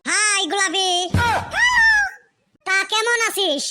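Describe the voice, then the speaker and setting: A man voicing a brash, lovesick village college boy in a comic cartoon, with a Lower Assam rural accent and a pitched-up effect. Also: slow pace, loud music behind the voice. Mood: pleading. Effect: pitched-up chipmunk-like voice. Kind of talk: comic meme cartoon dialogue.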